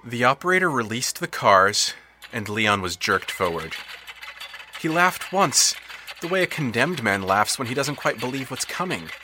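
Male narrator, audio drama, mid-pitched voice, very lively intonation. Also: normal speed, a little hiss or hum. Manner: expressive storytelling, swelling with tension and dropping to hushed tones.

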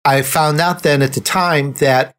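A man's voice: on the high side, steady tone, measured pace, a bit bright. A man hosting a conversational interview, talking as an author among fellow writers. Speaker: interview host and nonfiction author.

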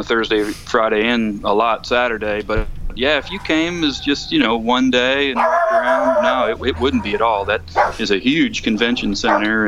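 A man's voice: medium pitch, noisy background. A man chatting casually and a bit hesitantly as a guest correspondent on a call-in, rambling in a folksy way. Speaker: guest correspondent calling in to a motorcycle podcast. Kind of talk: casual interview chat.

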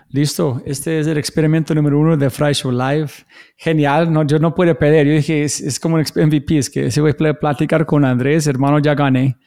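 Mellow male podcast host, slightly high. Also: gravelly. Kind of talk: casual live interview.

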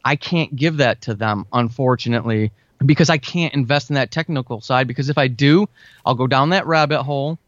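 A man's voice: medium pitch, brisk, dry recording. A man speaking as a podcast guest, giving informal, conversational advice step by step in a casual interview. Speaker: podcast guest, writer and audio drama podcaster. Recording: dull, lo-fi, dry close sound.

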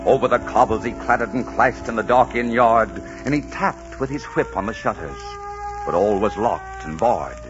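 A man's voice: on the low side, moderate pace. A man announcing in a theatrical, polished, scripted 1940s radio style. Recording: dull 1940s radio recording, music.

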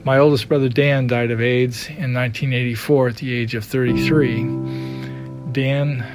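A man's voice: medium pitch, steady tone, normal speed, over loud music. A man in his sixties from Indiana, a listener telling his story plainly. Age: 60s.

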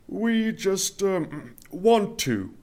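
An actor playing a nervous, hesitant husband in an audio comedy-drama, halting and pleading. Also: male voice, sits high, sing-song, a little mellow, heavily acted.

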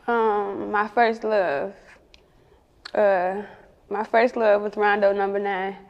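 A woman's voice: medium pitch, level tone, slow, big-room sound, dull audio. A woman with a Chicago accent chatting casually as an interview guest, candid and slangy. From Chicago, United States. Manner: candid, slangy, often chuckling.

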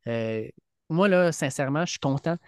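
A man's voice: a little high, expressive, normal speed, clean tone, no echo. Earnest Quebec French man, dry sound. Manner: earnest, many fillers and restarts. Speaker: podcast co-host, teacher. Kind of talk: casual sports chat. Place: podcast studio.

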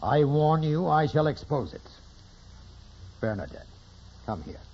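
Muffled old radio drama with faint noise. a grave, commanding priest, protective and resolute, his pitch moving freely. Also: very animated, unhurried. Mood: indignant, resolute. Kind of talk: 1940s radio drama acting.

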